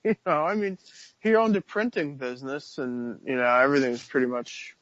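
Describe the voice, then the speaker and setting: A man in his thirties chats on a radio show in a relaxed, slangy way at an average pitch. His voice is close and dry, with a slightly dull, lo-fi quality. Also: fairly quick, no room sound. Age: 30s. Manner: laid-back, slangy, laughs easily. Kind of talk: casual talk radio chat.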